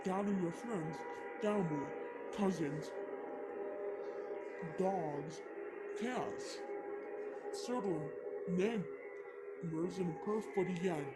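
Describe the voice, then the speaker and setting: A woman's voice: lowish voice, extremely slow, long pauses, loud music behind the voice. A woman reading a scripted apocalyptic emergency announcement in a stilted, solemn manner.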